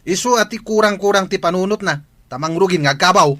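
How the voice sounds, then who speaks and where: Bright-voiced, quarrelsome drunkard husband acted by a man. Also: high voice, quick pace. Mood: defensive grumbling. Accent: Ilocano. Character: quarrelsome, lazy drunkard husband, jobless and touchy. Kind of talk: Ilocano radio drama acting.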